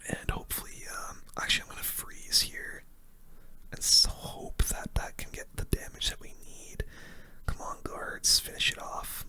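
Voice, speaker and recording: A person giving casual, rambling game commentary in a breathy, unvoiced ASMR whisper, close to the microphone. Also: moderate pace, sharp tone, low-quality recording. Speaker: gaming video host.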